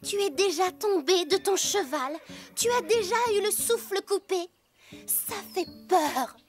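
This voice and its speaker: A woman's voice: very high voice, expressive, unhurried, soft background music. A woman playing a stubborn, sarcastic young girl in a French cartoon dub, blunt and pouty. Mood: sarcastic, defensive.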